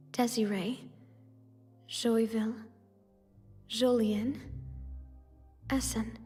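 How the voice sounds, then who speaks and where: Spirited young woman on trial, high voice, slow halting delivery, emotional, video game drama, echoing hall. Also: a little mellow. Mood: puzzled. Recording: long echoing reverb under music.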